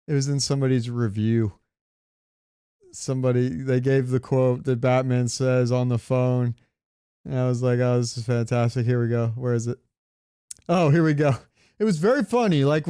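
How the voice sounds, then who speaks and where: Clear, smooth-voiced man chatting casually. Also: mid-range voice, warm tone, dry recording, studio quality. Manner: opinionated, informal, swearing. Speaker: podcast co-host. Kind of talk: casual pop-culture chat.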